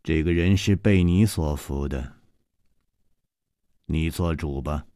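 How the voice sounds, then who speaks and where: A man voicing a stern, icy woman who rules a palace, speaking slowly and coldly in a storytelling reading. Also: extremely low pitch, warm tone, no echo, clean studio sound, pitched below the performer's own voice.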